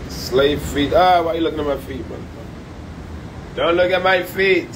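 A man livestreaming from a high-rise room by the beach on a phone at arm's length, talking loud, profane and animated. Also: unhurried, a little hiss or hum, rough recording. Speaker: comedian livestreaming. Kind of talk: casual live stream chat. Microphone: phone held at arm's length.

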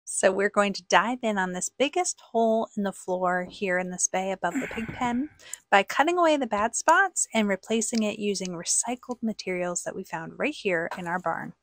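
A woman's voice: medium pitch, normal speed, fairly bright tone, dry recording. A woman narrating a renovation vlog in a casual, conversational, explanatory way. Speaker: host narrator. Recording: background music under voice-over.

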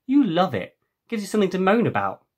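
A cheeky adventurous boy from a storybook, voiced by a man, playful and full of teasing banter. The voice is husky and lifts up from an ordinary talking pitch. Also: wildly swooping pitch, quick pace, heavily acted.

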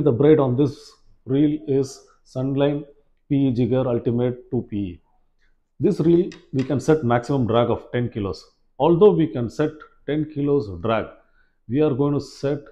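Man, forties, soft mellow tone, measured explaining, instructional demonstration, indoor room. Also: medium pitch. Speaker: fishing tutorial presenter. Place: small plain room indoors, seated at a table.

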